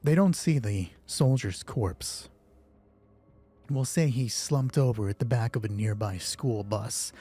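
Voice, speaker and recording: Mellow, slightly husky male game master. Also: medium pitch. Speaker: solo roleplay game master and host. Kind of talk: tabletop roleplay narration mixed with casual rules explanation.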